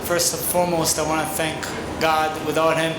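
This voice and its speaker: A man's voice: on the high side, level tone, normal speed. A man, speaking earnestly at a podium as a boxer at a press conference. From Italy. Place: large arena press conference stage. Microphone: podium microphone. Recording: echoey hall with noise.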